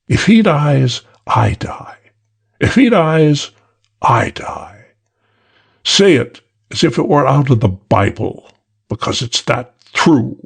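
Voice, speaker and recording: A man's voice: medium pitch, vocal fry, husky, voice pushed up, heavily acted. A man voicing a cold, superior male crook who drawls in a British accent.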